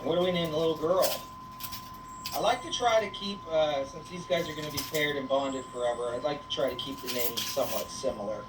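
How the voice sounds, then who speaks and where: A woman's voice: sits low, fairly quick. Woman doing a casual farm livestream, teasing and chatty, echoey far off mic w noise behind her. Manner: playful, teasing, talks to viewers and animals. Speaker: livestream host on a goat farm.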